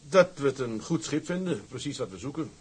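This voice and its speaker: A man's voice: medium pitch, animated, fairly quick, breathy edge. An actor playing a proud, independent seaman in a Dutch period drama, speaking bluntly and firmly but with respect. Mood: resolute. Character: proud, stubbornly independent seaman, plain-spoken and resolute.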